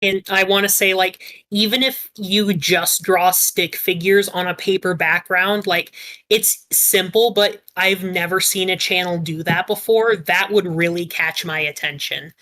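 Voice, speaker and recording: Female panelist, slightly low pitch, bright clear-cut tone, casual opinionated explainer. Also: steady tone, a little slow. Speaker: podcast panelist.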